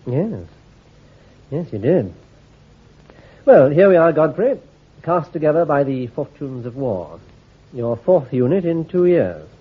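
A shrewd, brisk army intelligence commander in an old radio play, laying out orders in a clipped, authoritative manner. His voice is slightly husky with lively pitch movement. The recording sounds thin and tinny, like a phone line. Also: full character voice. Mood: commanding. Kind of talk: radio drama acting.

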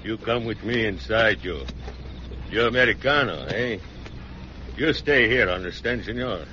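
A man's voice: lowish voice, a little slow, pushed and bright, slight background noise. An actor playing a suspicious Mexican policeman who interrogates in broken English with a heavy Mexican Spanish accent. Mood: interrogating. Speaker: police official. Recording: dull lo-fi radio drama.

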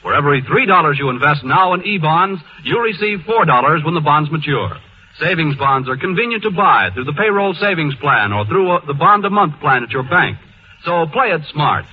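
Old timey radio announcer guy, smooth n persuasive, tinny w faint hiss. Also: on the high side, animated, normal speed.

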